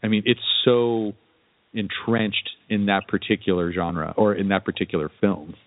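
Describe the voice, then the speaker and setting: Male podcast host, lowish voice, casual and rambling, on dull lo-fi audio. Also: steady tone, moderate pace, no echo. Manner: rambling, hesitant, frequent "you know" and "kinda". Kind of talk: casual interview chat.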